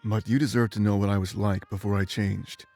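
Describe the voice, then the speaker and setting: A man playing a calm, reformed former inquisitor in a fantasy game, speaking in a measured, apologetic way. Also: on the low side, faint music behind, natural voice. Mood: calm, contrite. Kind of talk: visual novel character voice acting.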